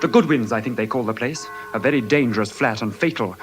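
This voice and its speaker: An actor playing a dry, teasing gentleman trading news in classical stage banter, wry and theatrical. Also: medium pitch, fast, faint music behind, natural voice. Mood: gossiping, concerned.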